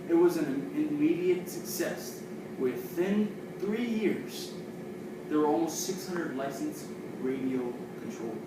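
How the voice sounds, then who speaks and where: Man, halting amateur report delivery, stumbling over words; very echoey room, heavy noise. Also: fairly high pitch. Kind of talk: amateur history report presentation. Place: echoey room, far from the microphone. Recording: echoey, distant, very noisy room recording.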